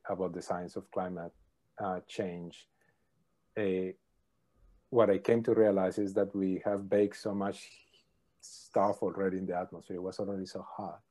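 A middle-aged man, a professor answering interview questions thoughtfully, pausing often with ums. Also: sits low, mellow. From Colombia. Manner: thoughtful, hesitant with many ums. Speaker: professor, podcast guest.

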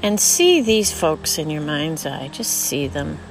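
A woman leading a guided visualization meditation in a slow, soothing, spiritual voice that often sinks to a whisper. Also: deep voice, big pitch swings, over music, low-quality recording. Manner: repetitive, spiritual phrasing.

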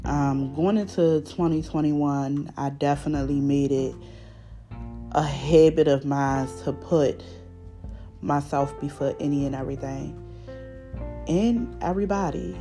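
A woman with a Baltimore accent hosting her podcast, talking freely with a raspy voice from a recent illness, rambling with fillers and restarts. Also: sits low, steady tone, measured pace, dark tone, faint music behind. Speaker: podcast host. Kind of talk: unscripted casual monologue.

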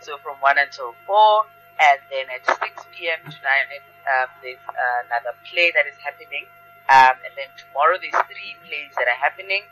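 A woman's voice: low voice, animated, normal speed, bright, forceful tone, faint music behind, poor audio. A woman talking conversationally as an interview guest. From South Africa. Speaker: guest, theatre storyteller.

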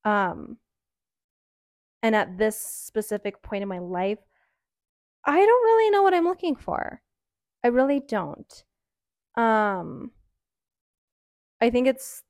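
A woman's voice: mid-range voice, a little mellow, no echo, clean studio sound. A woman in her early twenties talking casually and frankly on her own, rambling with lots of "um" and "like.". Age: early 20s. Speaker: podcaster. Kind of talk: casual solo chat.

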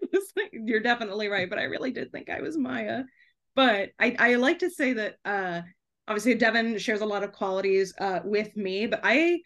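A young woman chatting casually and effusively as a podcast guest, giggly and sprinkling her talk with "like". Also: mid-range voice. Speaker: podcast guest, debut author.

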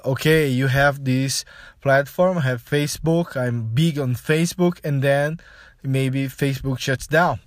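A man from Brazil, a native Portuguese speaker with a Brazilian accent, hosting a casual solo podcast in a halting, explanatory manner with frequent filler phrases. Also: fairly high pitch, no room sound, clean studio sound. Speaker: podcast host.

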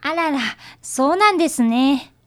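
Woman as high-voiced eager young boy. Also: animated, a little slow. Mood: polite, curious. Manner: polite, earnest, boyish. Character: polite, eager fifth-grade boy apprentice detective. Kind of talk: radio drama acting.

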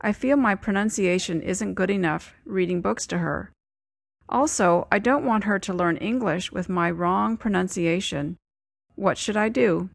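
A woman's voice: medium pitch, measured pace, creaky, no room sound. A woman playing a worried mother. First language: Japanese. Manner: polite, hesitant and worried. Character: Japanese mother asking a teacher for advice. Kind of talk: scripted textbook dialogue.

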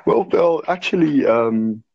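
A man's voice: a little high, lively intonation, slow, gravelly, dull audio. Hesitant South African man in his twenties. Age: 20s. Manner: hesitant, lots of "uh" and "um", eager. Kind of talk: casual chat.

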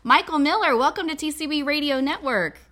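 Lady radio host, bright highish voice, doing intros and interview questions. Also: brisk. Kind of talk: scripted introduction and conversational interviewing.

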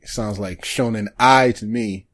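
A man co-hosting a podcast, chatting casually and thinking aloud in halting bursts about anime shows. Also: lowish voice, a bit bright. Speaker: podcast co-host.

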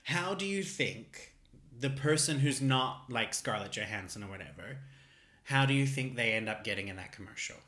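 An Australian man co-hosts a loose conversation, animated and camp, often breaking into laughter. His voice sits in a middle range. The room adds a noticeable echo. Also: mid-range voice, normal speed. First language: English. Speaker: podcast co-host. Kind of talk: casual chat. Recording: roomy echo.

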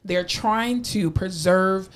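A woman's voice: medium pitch, slow. A woman co-hosting a casual podcast discussion, emphatic and opinionated, building to impassioned appeals. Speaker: podcast co-host.

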